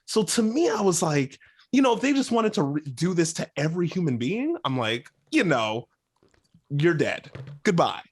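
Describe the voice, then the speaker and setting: A man's voice: on the high side, big pitch swings, moderate pace, husky. A man speaking as a panelist in a casual pop-culture discussion. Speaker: podcast panelist.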